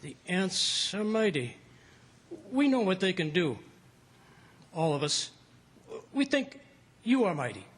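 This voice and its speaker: A man playing a humble, loyal plantation foreman in a radio drama, husky, hesitant and deferential. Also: high-pitched, sing-song, slow pace, pauses often, a bit bright, full character voice. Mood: solemn, loyal.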